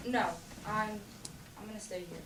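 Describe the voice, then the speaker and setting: A woman's voice: medium pitch, moderate pace, lightly acted. A woman playing an anxious, withdrawn young mother in a stage drama, speaking hesitantly. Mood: subdued. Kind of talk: theatrical stage acting. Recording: echoey stage, distant mic, noisy.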